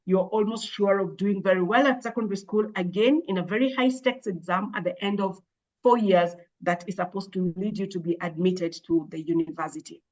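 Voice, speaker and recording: Woman explaining in measured tones. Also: mid-range voice, darkish tone, muffled recording. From Kenya. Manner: measured, thoughtful, with many hesitations and restarts. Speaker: education expert guest. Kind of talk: explaining in an interview.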